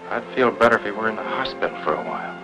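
A man plays an earnest family doctor from a 1950s Hollywood melodrama. His American voice is on the low side and moves quickly, persuading firmly on an old film soundtrack. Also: expressive, highs cut off, music in the background, lightly acted. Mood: concerned. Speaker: film actor.

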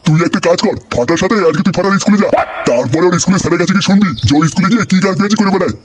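Cartoon grandpa male actor: old, combative, scolding, rapid-fire and high-pitched, on a noisy track. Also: natural voice. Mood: stern, scolding. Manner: scolding, blustering, profane. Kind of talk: crude comedy cartoon voice acting.

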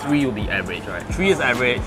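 A man's voice: mid-range voice, very animated, rapid-fire. A man in his thirties hosting a casual food vlog, chatty and playful, recorded on a lapel mic outdoors and in an open-air food centre. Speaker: food show host. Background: background music.

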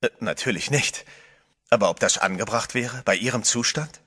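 A man's voice: mid-range voice, normal speed, pushed and bright, breathy edge. An actor playing a composed adventurer who narrates his own story in a horror radio play. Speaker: first-person narrator. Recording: music bed under the voice.

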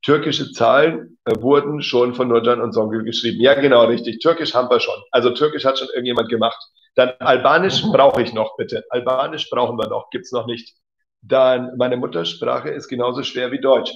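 A man's voice: medium pitch, steady tone, normal speed, gravelly. A man teaching German in a live stream, reading out viewers' messages and praising them often. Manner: encouraging, says "genau" and "cool" often. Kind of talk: live-stream language lesson reading chat. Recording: dull lo-fi sound.

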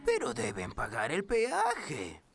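An actress playing a put-upon troll toll collector in a children's cartoon, hesitant and drawling, easily offended by rudeness. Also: female voice, mid-range voice, wildly swooping pitch, slow pace, creaky, loud music behind the voice, exaggerated voice. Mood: hesitant, polite. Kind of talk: animated children's cartoon acting.

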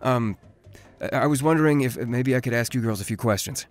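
A man's voice: medium pitch, brisk, faint music behind, natural voice. Man acting a flustered, anxious teenage schoolboy. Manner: stammering, flustered. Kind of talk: animated web roleplay acting.

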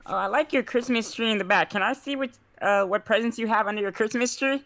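A man's voice: high-pitched, fast, bright, forceful tone. A congested man from Florida asking awkward questions over a video call. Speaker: dating show contestant, part-time streamer. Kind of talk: casual chat on a video call.

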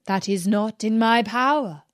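Storybook genie servant, played by a woman, formal, slow, closely dry sound. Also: mid-range voice, no echo, close to an everyday voice. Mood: solemn, refusing. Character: powerful but bound male genie slave.

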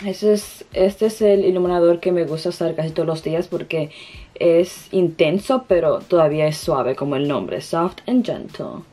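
A woman's voice: fairly low pitch, dark tone. A young woman from the Dominican Republic with a Dominican Spanish accent, chatting through a makeup tutorial at home, hesitant and searching for words. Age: young adult. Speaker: beauty vlogger. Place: bedroom, indoors.

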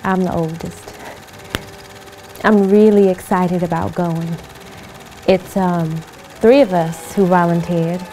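A woman's voice: lowish voice, fairly even intonation, takes it slowly, long pauses, warm tone, a little hiss or hum, rough recording. An actress in her twenties playing an eager 1940s volunteer, speaking plainly and politely in a film monologue. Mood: excited, proud. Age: 20s. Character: eager young 1940s woman volunteer in uniform.

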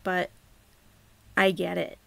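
A woman's voice: lowish voice, monotone, slow pace, vocal fry, a bit bright, no echo. A woman hosting a live stream, chatting casually and rambling as she answers viewer comments, often dropping to near-whispered asides.

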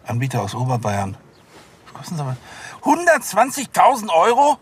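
A man's voice: high-pitched, wildly swooping pitch, fairly quick, slightly rough, exaggerated voice. An actor playing an officious, easily exasperated police superior, stammering and fussy in his speech. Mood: alarmed. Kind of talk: TV crime comedy dialogue.

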